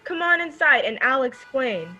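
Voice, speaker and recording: A woman playing an effusive, pushy high-society friend in an old-time radio drama, theatrical and insistent. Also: lively intonation, moderate pace, sharp tone, dull audio, faint music behind. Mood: insistent, coaxing.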